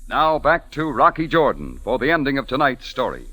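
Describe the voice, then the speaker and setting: A man's voice: medium pitch, huge pitch swings, normal speed, very noisy. A man working as a network announcer, reading scripted copy in a formal, polished old-time radio style. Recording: old radio recording with music behind.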